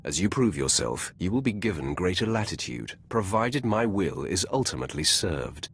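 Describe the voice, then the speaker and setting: An actor playing a commanding, cold Sith master in a video game, with a terse, imperious and self-assured manner. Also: male voice, sits low, big pitch swings, normal speed, slight background noise. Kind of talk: dramatic video game voice acting.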